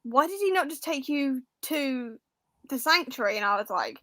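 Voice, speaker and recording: Casting: woman with a high voice, dry close sound, informal book panellist, emphatic storyteller. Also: animated, normal speed, bright, forceful tone, no echo. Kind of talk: casual chat about books.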